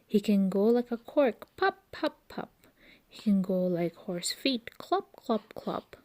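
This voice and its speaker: Female children's storyteller: slow delivery, warm relaxed timbre, playful and expressive with noise words. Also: medium pitch. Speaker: children's book reader. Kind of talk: read-aloud storytelling for young children.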